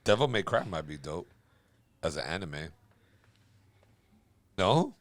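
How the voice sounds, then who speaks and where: Low creaky guy, lots of fry, casual podcast cohost chat. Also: very animated, takes it slowly, no echo. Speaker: podcast co-host.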